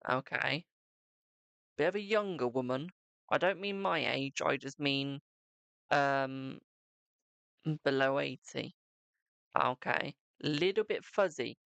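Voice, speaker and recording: Chatty man, gravelly voice. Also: mid-range voice, slow pace, long pauses, fairly bright tone, no room sound. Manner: chatty, calls viewers "my darling". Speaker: psychic tarot reader. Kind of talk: live-stream card reading, chatting to viewers.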